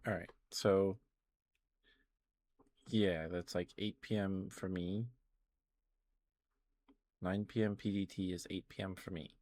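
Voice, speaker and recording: A soft-spoken man hosting a casual ASMR livestream, talking to viewers in an unhurried, affectionate way. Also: low-pitched, fairly even intonation, slight background noise. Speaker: streamer, host of the show.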